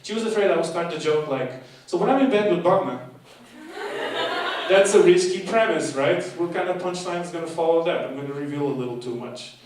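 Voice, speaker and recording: A man's voice: on the high side, a little fast. A young man doing a chatty, playful stand-up set, joking with the audience and mocking himself. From half Portuguese, half Argentinian. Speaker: stand-up comedian and course leader. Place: live comedy show in a hall. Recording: echoey hall from far off, noisy. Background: audience laughter.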